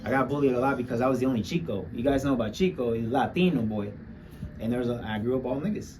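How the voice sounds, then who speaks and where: A man's voice: medium pitch, racing, distant mic, low-quality recording. A man from Puerto Rico telling his story as a musician in a casual, candid interview, using street slang and talking straight to the audience. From Puerto Rico, later urban Florida.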